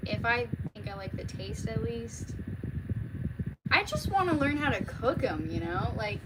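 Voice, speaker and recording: Rambling American woman, loud background noise. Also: medium pitch. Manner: informal, rambling, trailing off. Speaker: livestreamer, read-aloud host. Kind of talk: casual livestream chat with viewers.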